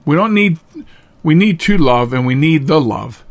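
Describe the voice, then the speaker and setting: A male podcast host with a fairly high-pitched voice talks straight to his listeners. He is earnest and emphatic, often saying a phrase again to make it land. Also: lively intonation, moderate pace. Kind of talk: solo talk show monologue, directly addressing listeners.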